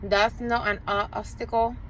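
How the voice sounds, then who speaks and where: A woman's voice: fairly high pitch, little pitch movement, normal speed. Earnest spanish-accented lady, realtor vlog, traffic noise behind her outdoors. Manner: earnest, self-correcting, speaks straight to the viewer. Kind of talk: direct-to-camera vlog.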